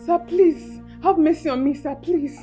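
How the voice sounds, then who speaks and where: A woman's voice: high-pitched, unhurried, dark tone, faint music behind. A woman with a Nigerian accent, playing a frightened house help who pleads desperately with her employers. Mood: pleading. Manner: desperate pleading, begging repeatedly. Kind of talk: skit drama acting. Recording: voice distant from the mic.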